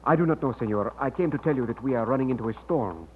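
Courtly sea captain. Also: male voice, mid-range voice, animated, quick pace, slightly rough. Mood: matter-of-fact. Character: courtly, gallant Spanish-speaking sea captain. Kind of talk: 1940s radio acting. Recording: tinny, distant old radio recording.

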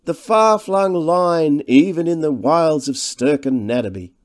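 A sardonic younger man from a storybook, acted by a male reader. His voice is lifted well above a deep natural register. He speaks in a breezy, glib, lightly mocking way. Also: wildly swooping pitch, a little slow, mellow.

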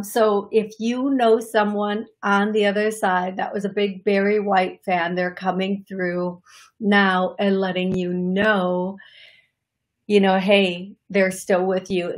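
A middle-aged woman with a raspy voice from allergies, hosting her psychic medium show in a chatty, apologetic way from her living room. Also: mid-range voice, level tone, slow pace. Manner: chatty, apologetic, laughs often, gestures with hands. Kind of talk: solo livestream talk to viewers. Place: living room, seated on leather couch.

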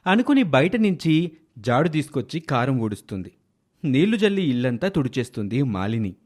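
Expressive male storyteller, lively intonation. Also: mid-range voice, moderate pace. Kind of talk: scripted moral story narration.

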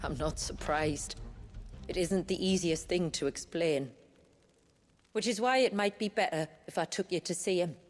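A woman playing a proud, weary village elder in a video game, with a measured, solemn manner. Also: fairly low pitch, lightly acted. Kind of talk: video game cutscene acting. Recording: music playing behind the voice.

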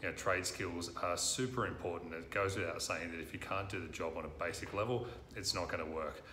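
Deep-voiced Australian man, bright tone. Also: fairly even intonation, fairly quick, a little hiss or hum. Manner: earnest, frequent "uh" fillers. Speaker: recruitment company presenter. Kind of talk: direct-to-camera talk. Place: office room, indoors. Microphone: lapel mic. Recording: roomy office echo.

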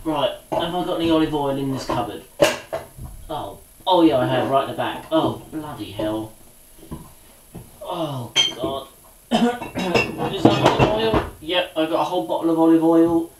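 A man's voice: on the high side, unhurried, very noisy. Chatty man cooking while talking. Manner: rambling, chatty, mutters to himself. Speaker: boxing vlogger. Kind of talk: casual chat while cooking. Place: small home kitchen indoors.

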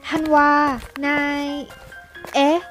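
A woman's voice: very slow, faint music behind. A woman voicing a polite, earnest teenage schoolboy in a fan-made cartoon.

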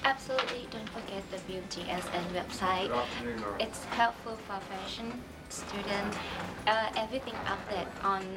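A woman's voice: medium pitch, fairly even intonation, moderate pace, loud music behind the voice. A young woman, a student, giving a promotional presentation.

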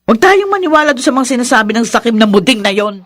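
A woman's voice: on the high side, sing-song, fast talker, a bit bright. A woman playing an emotional, conciliatory middle-aged mother in a Filipino radio drama, earnest and pleading, with a Filipino accent. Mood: indignant.

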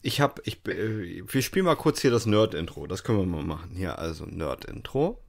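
A man's voice: low voice, sing-song, moderate pace, vocal fry. Playful man chatting on a podcast. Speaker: podcast co-host. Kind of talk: casual podcast chat, rambling anecdotes.

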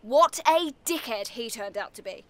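High, sharp-voiced sardonic British woman. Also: expressive, moderate pace, no room sound. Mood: defiant. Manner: sarcastic, brusque. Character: sardonic, defiant woman neighbour purging her belongings. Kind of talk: audio comedy play acting.

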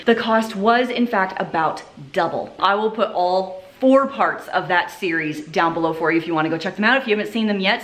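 A woman in her thirties vlogging from her home kitchen, talking casually to a handheld camera as she walks through her groceries and prices. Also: mid-range voice. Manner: chatty, upbeat, walks through items and prices. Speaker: family vlogger. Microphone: handheld camera, held close.

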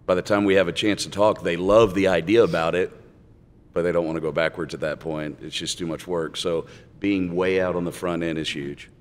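A man's voice: deep voice, steady tone, brisk. A man on a conference panel, speaking in a direct, opinionated way. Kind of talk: panel discussion. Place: conference hall stage panel. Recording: reverberant conference hall.

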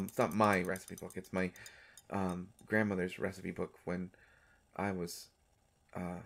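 A man voicing an affectionate, mischievous vampire doctor in an intimate romantic audio roleplay, speaking in a halting, teasing way. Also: deep voice, gravelly, exaggerated voice. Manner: halting, teasing, soft-hearted speech. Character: gentle, mischievous male vampire doctor, centuries old, affectionate.

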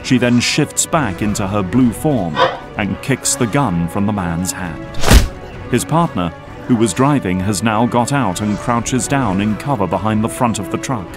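Male narrator, lowish pitch, scripted action storytelling, music underneath. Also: expressive, a little slow. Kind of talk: scripted audio drama narration.

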